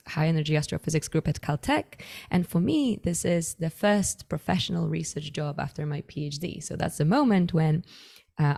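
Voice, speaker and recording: A young woman from Poland speaks English in a joking, self-deprecating manner. Her voice sits low and carries a gravelly fry. She is presenting a science talk over an online call. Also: darkish tone. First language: Polish. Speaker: astrophysics speaker.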